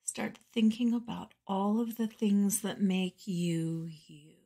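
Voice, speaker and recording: A woman leading a guided meditation in a soft, breathy, soothing voice with measured phrasing and pauses. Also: mid-range voice. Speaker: meditation guide and podcast host.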